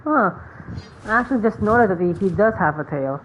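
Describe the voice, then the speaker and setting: A woman giving casual gameplay commentary, thinking aloud in a halting way. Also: medium pitch, expressive. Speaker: game streamer. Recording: dull lo-fi mic, faint background noise.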